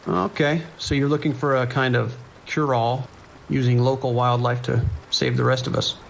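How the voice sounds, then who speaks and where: Kindly small-station deputy played by a man: reassuring, unhurried, mid-pitched with some fry, light noise behind. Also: fairly even intonation, close to an everyday voice, rough recording. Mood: calm, businesslike. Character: calm, kindly male sheriff's deputy dispatcher on night shift. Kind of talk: naturalistic audio drama acting.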